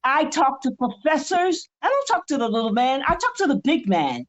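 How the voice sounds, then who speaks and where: A woman's voice: high-pitched, very animated, normal speed. A woman in her seventies, a podcast co-host, speaking with fiery, emphatic conviction in a preaching cadence. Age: 70s. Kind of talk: impassioned spiritual podcast talk.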